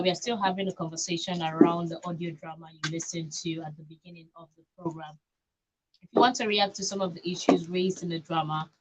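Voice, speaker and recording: A woman with a Nigerian accent hosting a live radio phone-in talk show. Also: lowish voice. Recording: clearly noisy live radio recording.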